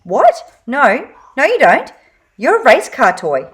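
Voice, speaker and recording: Woman as a sincere spork toy: slightly raised, animated, plain-spoken. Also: sits high, takes it slowly, voice pushed up, exaggerated voice. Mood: puzzled. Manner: earnest, matter-of-fact. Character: earnest young spork toy, puzzled then rallying.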